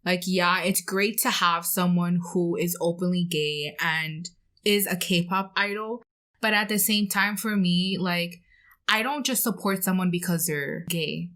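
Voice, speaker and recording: A woman's voice: lowish voice, unhurried, fairly bright tone. A woman co-hosting a podcast, chatting in an easygoing, conversational way. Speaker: podcast co-host. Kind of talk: casual conversational podcast chat.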